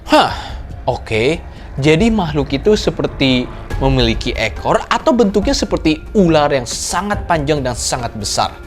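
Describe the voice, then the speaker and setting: A man's voice: a little high, big pitch swings, moderate pace, soft background music. A man hosting a horror reaction video, giving dramatic, suspenseful commentary with rhetorical questions and exclamations. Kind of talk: dramatic storytelling commentary to viewers.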